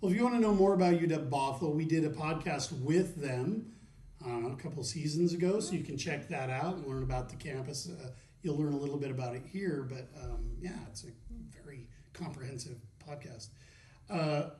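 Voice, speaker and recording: A man hosting a casual interview podcast, chatty and upbeat, asking quick questions. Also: fairly high pitch, warm tone, roomy sound. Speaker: podcast host.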